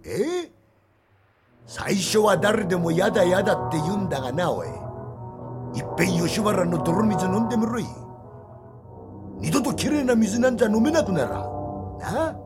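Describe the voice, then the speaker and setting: A male storyteller voicing a coarse, mocking brothel thug who talks in rough Edo street speech. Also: high voice, very animated, normal speed, pauses often, over loud music, pitched above the performer's own voice. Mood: jeering.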